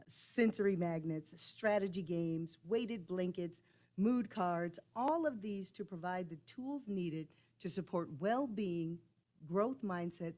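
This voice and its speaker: A woman with a low, warm and mellow voice speaks loudly and with enthusiasm. She reads through a list of items as she reports to a school board. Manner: loud and enthusiastic, says she has a big voice. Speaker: district staff presenter. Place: school board meeting room.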